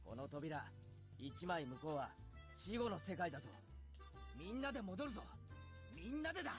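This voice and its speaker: Cocky, loyal teen boy leader for a visual novel: animated, very quick, brash. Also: high voice. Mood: rallying. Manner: brash, rough boyish wording. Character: cocky, loyal teenage schoolboy, joking leader. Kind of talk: Japanese visual novel voice acting. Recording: game dialogue over clear music.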